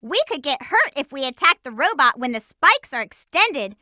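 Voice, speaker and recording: A woman's voice: high voice, very animated, moderate pace, pushed and bright, dry recording, full character voice. A woman voicing a spirited, bossy teenage girl hedgehog in a video game, delivering hints in an upbeat, emphatic way, recorded close in a studio. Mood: eager. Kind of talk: game voice lines and tutorial hints.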